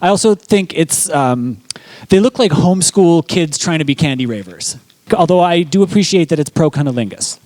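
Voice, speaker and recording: A man's voice: fairly high pitch, expressive, moderate pace, darkish tone, dry recording, low-quality recording. A man hosting a casual comedy panel chat, wry and playful, swearing freely. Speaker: host.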